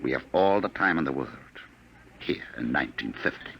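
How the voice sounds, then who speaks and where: Smug future government agent, bright forceful voice, lively intonation, coolly threatening, vintage radio drama. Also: male voice, extremely low pitch, fairly quick, creaky, exaggerated voice. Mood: menacing. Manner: coolly patient, mocking, threatening. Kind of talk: 1950s radio drama acting. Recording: noisy 1950s radio recording.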